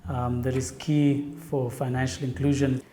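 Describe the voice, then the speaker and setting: A man from Zambia, a company product officer, explaining in a measured interview tone. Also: mid-range voice, flat delivery, mellow, a little hiss or hum.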